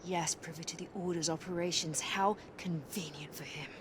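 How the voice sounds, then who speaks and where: An actress playing a proud Indian warrior queen in a video game, with an Indian accent and a commanding, formal, measured manner. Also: on the low side, fairly even intonation, noisy background, natural voice. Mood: composed, firm. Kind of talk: dramatic video game acting. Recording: game music behind the voice.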